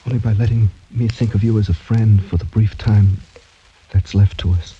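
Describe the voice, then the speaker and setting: An actor playing a proud, doomed young French gentleman in formal, courtly, brooding old-time radio drama style. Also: on the low side, fairly even intonation, normal speed, slight background noise, lightly acted. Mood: sorrowful, resigned. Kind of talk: 1940s-50s American radio drama acting. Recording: dull, muffled old radio broadcast recording.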